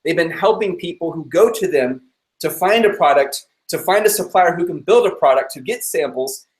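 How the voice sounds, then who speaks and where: A man's voice: fairly high pitch, expressive, moderate pace. Eager man hosting in a roomy office. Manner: eager, chatty, laughs easily. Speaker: host. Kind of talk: upbeat live-stream interview. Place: his own office room.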